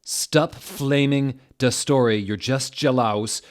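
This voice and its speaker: A man voicing an angry, defensive teenage girl author, loudly drawing out emphatic words in a comedic reading. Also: medium pitch, pitched above the performer's own voice, natural voice. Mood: ranting. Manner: loud, emphatic, drawn-out words.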